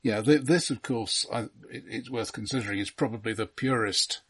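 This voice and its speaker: A man co-hosting a casual film discussion, explaining precise details in a halting, slightly pedantic way. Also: fairly low pitch, fairly bright tone, slightly rough. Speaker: film podcast co-host.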